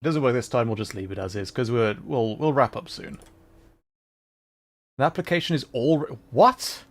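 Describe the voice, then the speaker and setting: A man streams a video game and chats casually as he goes. His voice sits at an ordinary middle pitch, and his manner is self-deprecating and apologetic. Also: moderate pace. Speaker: livestreamer, VTuber. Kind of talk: casual livestream chat while playing a game.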